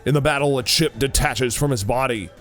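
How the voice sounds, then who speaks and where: A man's voice: medium pitch, fairly quick, fairly bright tone, faint music behind. A man giving scripted narration for an audio drama as its narrator.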